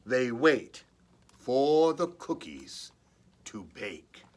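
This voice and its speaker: Very slow-paced man reading a children's story. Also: medium pitch. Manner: expressive, with chatty playful asides to a companion. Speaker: children's librarian reading aloud. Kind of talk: children's story time read-aloud.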